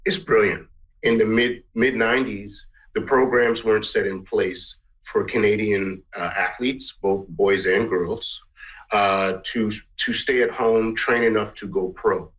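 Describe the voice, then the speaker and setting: A man's voice: lowish voice, steady tone, measured pace, a bit bright, rough recording. Earnest, rambling middle-aged man. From Guyana. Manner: earnest, rambling, many restarts. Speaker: musician and composer. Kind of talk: interview answers.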